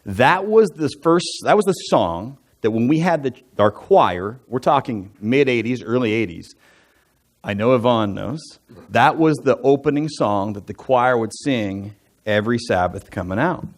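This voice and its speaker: A man in his forties, raised in the United States, stands before his church and tells his own life story. His voice sits in an ordinary middle pitch. He talks loosely and chattily, drifting into asides and self-deprecating jokes. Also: expressive, measured pace. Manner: conversational and self-deprecating, with asides and joking fun facts. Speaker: church elder giving a testimony. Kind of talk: personal testimony talk from the pulpit. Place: church sanctuary.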